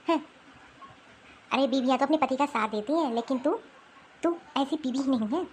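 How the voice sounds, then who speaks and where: A woman's voice: high-pitched, moderate pace, a little hiss or hum, natural voice. A woman voicing a harsh, domineering older mother-in-law in a cartoon family drama, scolding and bossy. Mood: nagging.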